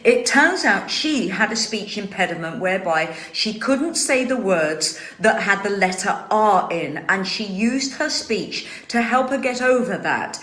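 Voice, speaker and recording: A woman's voice: medium pitch, lively intonation, unhurried, hardly any pauses, fairly bright tone, reverberant. A woman hosts a casual chat from home, answering viewer questions. She speaks with carefully trained, precise articulation. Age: 60s. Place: home room.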